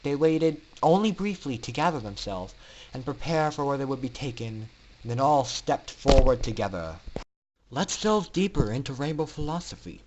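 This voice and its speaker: A man's voice: mid-range voice, rough recording. A man narrating a fan fiction story in a dramatic reading style.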